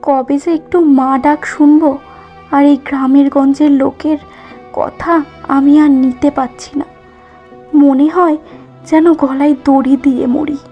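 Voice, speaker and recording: A woman's voice: high voice, little pitch movement, slow, pauses often. A woman playing a sorrowful, childless village wife lamenting in a cartoon moral story. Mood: despairing. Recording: muffled lo-fi audio with music bed.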